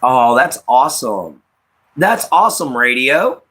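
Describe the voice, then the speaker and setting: A man's voice: medium pitch, sing-song, measured pace, a bit bright. An American man in his late thirties hosting a casual livestream, giving rambling, repetitive opinions and addressing listeners directly. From United States. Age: late 30s. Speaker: livestream host.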